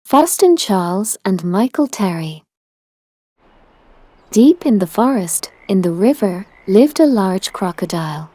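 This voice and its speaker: A woman's voice: mid-range voice, warm tone. A woman narrating a children's storybook as a read-aloud. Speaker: storybook reader.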